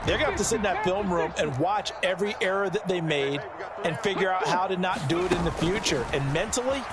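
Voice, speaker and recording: A man playing an emphatic, opinionated color commentator explaining plays in a football video game. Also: sits high.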